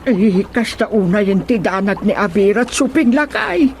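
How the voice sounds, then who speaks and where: A woman's voice: medium pitch, animated, normal speed, over loud music, close to an everyday voice. Woman as an anxious, exclamatory villager. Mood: worried. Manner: fretful, exclamatory. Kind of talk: radio drama acting. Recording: background noise, water ambience.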